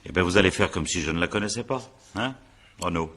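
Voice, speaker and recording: An examining magistrate in a radio drama, with a very low, bright and forceful voice. He questions in a brisk, authoritative way, impatient and dryly sardonic, with a husky edge. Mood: formal, brisk. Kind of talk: French radio drama acting.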